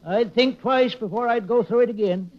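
A high-voiced deadpan country farmer. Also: male voice, normal speed, a crowd in the background. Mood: deadpan, homespun. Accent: rural American. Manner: deadpan, homespun storytelling. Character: dry, countrified New England farmer, slow-witted and plainspoken. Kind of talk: 1940s radio comedy acting. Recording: dull old-time radio recording.

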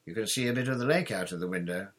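Man voicing a husky, doubtful young schoolboy. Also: on the low side, fast, fairly bright tone. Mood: doubtful, anxious. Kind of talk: audiobook character voice.

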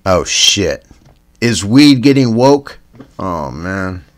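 A man with a Canadian accent hosting a spoof news podcast, reading headlines deadpan and drifting into rambling stoner asides that trail off with "man.". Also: sits low, slow pace. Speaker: comedy news podcast host.

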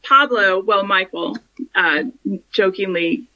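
An American woman, a guest on a podcast, chatting warmly and with enthusiasm about her home bar. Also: mid-range voice, slow pace, muffled recording. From United States. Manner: warm, enthusiastic and gushing. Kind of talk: casual interview chat.

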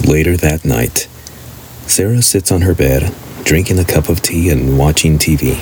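A man's voice: very deep voice, normal speed, slight background noise, rough recording. A man narrating a scripted radio play. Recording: loud music behind the voice.

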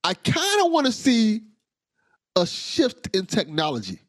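Lively man hosting a casual podcast. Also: sits high, a little slow, dry recording, clean studio sound. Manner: enthusiastic, repeats words as he starts sentences. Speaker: podcast host. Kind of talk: casual interview chat.

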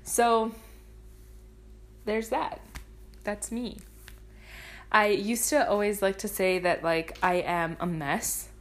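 A woman's voice: mid-range voice, fairly even intonation, takes it slowly, long pauses. A woman in her twenties whose first language is Spanish, hosting a solo podcast in a rambling, self-deprecating way, swearing freely and drifting into tangents. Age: 20s. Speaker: podcast host. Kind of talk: casual solo podcast monologue.

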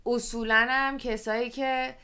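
A woman's voice: fairly high pitch, flat delivery, sharp tone. A woman in a casual podcast chat, speaking informally and mixing English words into her speech. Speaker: podcast guest or co-host.

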